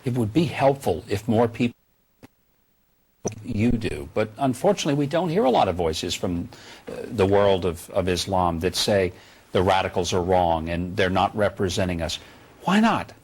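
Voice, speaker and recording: A man's voice: low voice, expressive, moderate pace, a little mellow, breathy edge. A man hosting a TV news interview, introducing his guest and asking pointed questions in a polished broadcast manner. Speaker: TV host.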